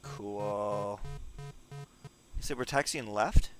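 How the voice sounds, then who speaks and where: A man playing a flight simulator at home, reading instructions back to himself in a halting, self-joking way. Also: on the low side, music in the background. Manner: repeats instructions aloud slowly, self-joking. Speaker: gamer learning a flight sim. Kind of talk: casual gameplay commentary.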